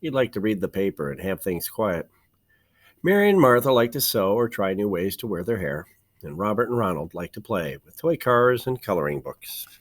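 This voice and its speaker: A man reading a children's storybook aloud like a teacher, with deliberate phrasing, dramatic pauses and emphasis. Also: lowish voice, very animated. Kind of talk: expressive children's storybook narration.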